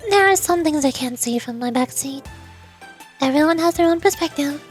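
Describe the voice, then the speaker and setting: A woman acts out a young female student president in a video game story. Her voice is high, with a composed, aloof and calculating air. She speaks formally and with measured authority over faint music. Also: lively intonation. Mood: composed, commanding.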